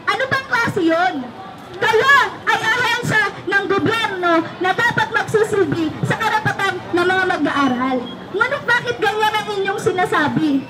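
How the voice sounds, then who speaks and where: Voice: very high-pitched, animated, normal speed. A young student with a Filipino accent delivering a protest speech. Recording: very noisy outdoor protest crowd.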